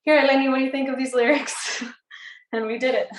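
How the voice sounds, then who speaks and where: A woman's voice: high-pitched, steady tone, moderate pace. Chatty young woman in an echoey room. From United States. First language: Greek. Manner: bubbly, lots of "like" and "um". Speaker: recording artist guest. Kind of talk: casual interview chat.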